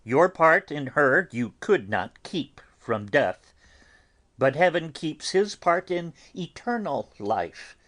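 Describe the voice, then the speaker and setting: Man acting a very slow, pious friar. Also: a little high, big pitch swings, fairly bright tone, heavily acted. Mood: stern consoling. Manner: sermonizing, deliberate, with long pauses. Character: stern, pious friar admonishing and consoling mourners. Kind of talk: dramatic Shakespeare audiobook reading.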